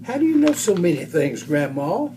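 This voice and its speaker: Storybook bear cub, performed by a man: young male, curious, excitable, high-pitched, swooping delivery. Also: normal speed, slight background noise. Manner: eager, questioning, exclaiming. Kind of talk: children's storybook read-aloud.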